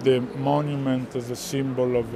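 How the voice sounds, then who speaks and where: A middle-aged man with an Italian accent explaining artworks to visitors, pausing often to search for words. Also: medium pitch, flat delivery. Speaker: art gallerist presenting works. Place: large indoor art fair hall. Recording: busy hall crowd noise.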